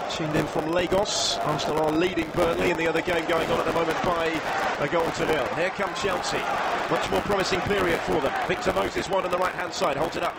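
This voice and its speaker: A man's voice: fairly high pitch, gravelly. A man giving live play-by-play football commentary for radio. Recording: loud stadium noise behind the voice. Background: loud stadium ambience.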